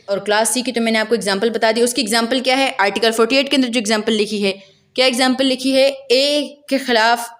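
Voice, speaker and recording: A woman with a Pakistani accent lecturing on law, reading passages aloud and explaining them in a didactic way with frequent rephrasing. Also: on the high side. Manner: didactic, repeats and rephrases points, checks in often.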